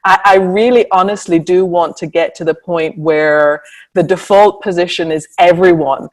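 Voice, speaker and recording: Earnest writer, voice a bit distant. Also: female voice, low voice, darkish tone. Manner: earnest, thoughtful, speaks in long building sentences. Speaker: writer on a panel. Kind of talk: reflective panel answer.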